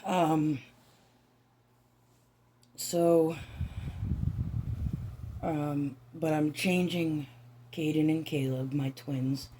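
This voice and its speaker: A woman chatting softly in a home vlog, her voice raspy and hoarse from a raw throat, halting with many ums and restarts. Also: deep voice, fairly even intonation, a little hiss or hum. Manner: soft, hushed, near-whispering. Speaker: doll-collecting vlogger. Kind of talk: casual vlog chat.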